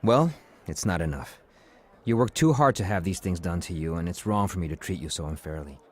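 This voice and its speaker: A man acting in an audio comedy drama as an earnest, smooth-talking office colleague with formal phrasing. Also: sits low, lively intonation, a little fast. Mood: contrite.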